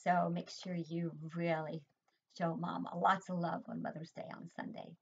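A woman's voice: fairly low pitch, level tone, moderate pace, creaky, breathy edge. An older woman, a preschool teacher, giving a sing-song online lesson from home and asking the children questions. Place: home room, indoors. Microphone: webcam laptop mic. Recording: dull lo-fi webcam audio.

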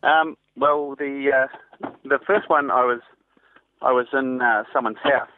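A man's voice: mid-range voice, a little hiss or hum. A man, a reporter giving a hesitant, spontaneous eyewitness account in an interview over the telephone. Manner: hesitant, halting. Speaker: newspaper reporter interviewed by phone. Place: on a telephone call. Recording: tinny narrow phone line.

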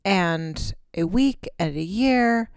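A woman's voice: medium pitch, animated, takes it slowly, clean tone, no echo, studio quality. A woman, co-hosting a podcast in casual chat, candid and rambling with casual swearing. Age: 30s. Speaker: podcast co-host. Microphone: close podcast mic.

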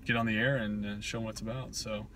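A man's voice: fairly low pitch, flat delivery, quick pace, fairly bright tone, slight background noise, rough recording. A man in his thirties hosting an amateur radio video, chatting and joking with a friend inside a parked car. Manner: joking, laughs often. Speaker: amateur radio operator and channel host. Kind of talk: casual chat. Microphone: camera on the dashboard.

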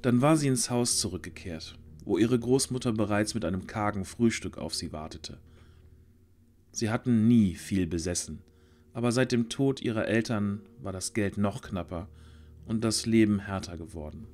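Low-voiced male fantasy storyteller. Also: darkish tone. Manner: measured storytelling. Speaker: narrator. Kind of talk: scripted fantasy audio drama narration.

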